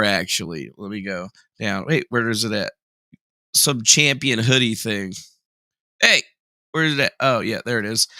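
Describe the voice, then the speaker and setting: Bright-voiced young man hosting a livestream. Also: medium pitch, dry recording. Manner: jokey, sarcastic, self-mocking; reads chat aloud and reacts. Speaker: livestream host. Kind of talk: casual livestream chat.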